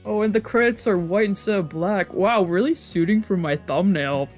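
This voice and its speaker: A streamer giving casual, excitable, rambling let's play commentary with giggly asides. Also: medium pitch, moderate pace. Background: game music.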